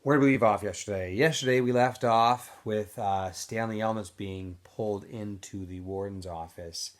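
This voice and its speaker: A man's voice: on the low side, moderate pace. A male teacher casually recapping a story for students, then reading a novel aloud expressively.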